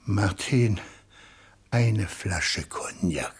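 An actor playing a terse, long-suffering police chief commissioner in a comic radio crime drama. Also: male voice, fairly low pitch, very slow. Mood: resigned. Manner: terse, clipped interjections.

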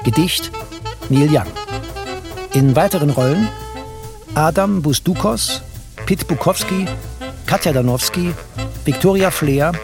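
A man's voice: on the high side, animated, unhurried, pauses often. A man announcing radio drama credits in a formal, clipped listing style, with swing music behind him.

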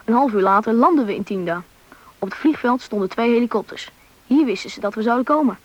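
A young performer playing a curious, eager Russian boy in a radio play, with a youthful, slightly husky voice, in a muffled old recording. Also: mid-range voice, animated, fast talker, lightly acted. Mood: recounting. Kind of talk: radio play narration and dialogue.

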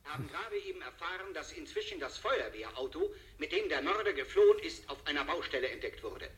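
Bright high-pitched sensational newsman. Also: sing-song, quick pace. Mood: urgent. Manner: emphatic, theatrical announcing. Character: sensationalist male TV news reporter, excited, menacing. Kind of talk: live manhunt commentary in 1970s radio drama.

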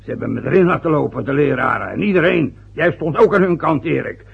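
A proud, aggrieved older father who grumbles and lectures in a husky, gravelly voice, pitched a little high. The old radio-play recording sounds dull and lo-fi. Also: lively intonation, quick pace, full character voice. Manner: aggrieved, lecturing, rambling with hesitations. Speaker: father of a newly married son. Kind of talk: vintage radio play acting.

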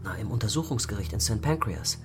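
A man's voice: fairly low pitch, expressive, fast, lightly acted. Earnest young policeman played by an actor, music behind. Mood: earnest, urgent. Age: young adult. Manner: confidential, earnest. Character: young policeman, eager, confiding family friend. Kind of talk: radio play acting.

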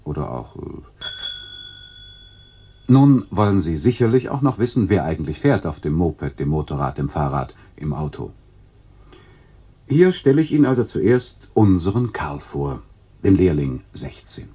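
An actor playing a dry, didactic announcer who explains a satirical radio play in deadpan scripted narration. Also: male voice, deep voice, unhurried, long pauses, breathy edge, exaggerated voice. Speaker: narrator and presenter.

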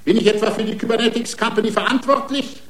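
A man's voice: high-pitched, quick pace, lightly acted. An actor playing a defiant, aggrieved man made of prostheses, arguing his own case indignantly and combatively in a radio drama. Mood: peevish. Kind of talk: German radio drama acting. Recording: echoing room.

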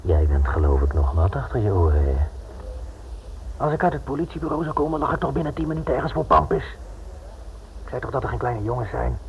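An actor playing a gruff, desperate small-time crook in a radio play, wary and streetwise in his talk. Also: mid-range voice, big pitch swings, quick pace, close to an everyday voice. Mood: bitter, anxious. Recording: muffled, noisy old radio recording.

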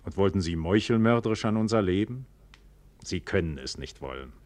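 A proud, impassioned nobleman in a classical radio play. He speaks in a fairly low voice with emphatic, theatrical rhetoric, recorded dry without room sound. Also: animated, brisk, darkish tone, dry recording. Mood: indignant, confident. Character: proud, trusting, impassioned nobleman scorning caution. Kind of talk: classical German stage drama for radio.

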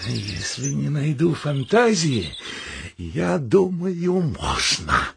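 Raspy, gravelly comic suitor. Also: male voice, fairly high pitch, wildly swooping pitch, takes it slowly, no room sound, full character voice. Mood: deliberating. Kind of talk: theatrical comic radio play acting.

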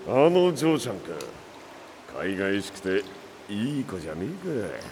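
An actor playing a boisterous middle-aged father in an anime audio drama, rough, blunt and jovial in his speech. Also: fairly low pitch, very animated, unhurried. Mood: teasing, jovial. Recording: echoey hall ambience, faint background noise.

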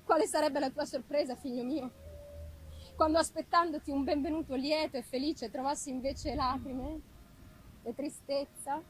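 A woman giving an expressive, deliberate dramatic reading of literary prose, with weighty pauses. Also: sits high, slight background noise, rough recording. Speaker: reader of a classic novel. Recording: live musical accompaniment behind the voice. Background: live music.

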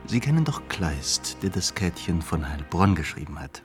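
An actor playing a grave, sorrowful romantic in a radio drama, recounting in a measured, intense way. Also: male voice, sits low, big pitch swings, faint music behind. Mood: solemn. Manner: measured, intense, dropping to near whispers. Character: grave, sorrowful romantic man telling a tragic tale.

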